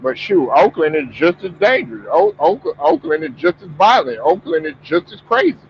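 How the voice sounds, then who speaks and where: A man with a fairly high, stuffed-up voice talks sports in a loose, rambling way, restarting and repeating himself as he goes. The audio is muffled and lo-fi, with a faint hiss underneath. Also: wildly swooping pitch, moderate pace, creaky. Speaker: sports talk show host. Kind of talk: casual sports talk.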